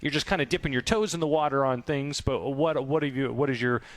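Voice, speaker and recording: A man's voice: medium pitch, quick pace. A man hosting a sports interview, asking long, winding questions in a conversational way. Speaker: radio show host.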